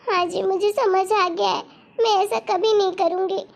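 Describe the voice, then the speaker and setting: A woman's voice: extremely high pitch, steady tone, moderate pace, creaky. A woman voicing a dramatic, insistent young wife in an amateur cartoon story, with a whiny manner. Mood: remorseful, pleading.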